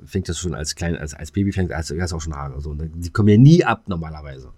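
A man's voice: very deep voice, expressive, quick pace, dark tone, husky, dry recording, studio quality. A middle-aged man, chatting casually as a podcast co-host. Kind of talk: casual chat.

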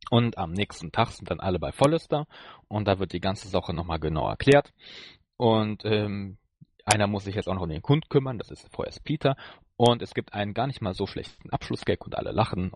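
A man co-hosting a podcast in casual conversation, recounting things in a hesitant, halting way. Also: on the low side, expressive, a little mellow, husky, no room sound. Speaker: podcast co-host. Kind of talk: casual chat reviewing an audio drama.

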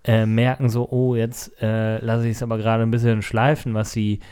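Mellow-voiced man chatting on a podcast. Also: lowish voice, little pitch movement, moderate pace, clean tone. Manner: conversational, explanatory. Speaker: podcast co-host and recording engineer. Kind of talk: casual podcast chat. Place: seated at a table. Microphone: close podcast microphone at a table.

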